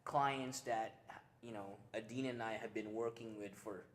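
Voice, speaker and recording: Energetic, joking male fitness trainer. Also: on the low side, normal speed. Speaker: personal trainer, gym co-owner. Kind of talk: casual interview.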